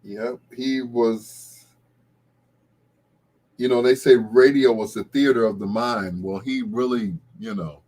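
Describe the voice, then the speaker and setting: A middle-aged American man with a husky voice hosts casual late-night radio, rambling along like a reminiscing storyteller. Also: medium pitch, fairly even intonation, slow, a little mellow. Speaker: radio show host.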